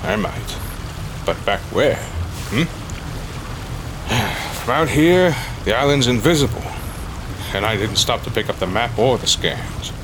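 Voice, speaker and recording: Actor as roguish, grumbling older treasure hunter. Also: male voice, medium pitch, lively intonation, slow pace, pauses often, noisy background. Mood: wry. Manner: grumbling, sly, folksy. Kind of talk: audio drama acting.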